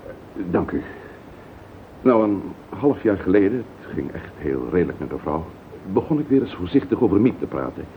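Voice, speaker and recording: An actor playing an earnest, protective and emotional husband in a radio drama, with a Netherlands Dutch accent. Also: male voice, low voice, very animated, normal speed. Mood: defensive, explaining. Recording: tinny, noisy old radio recording.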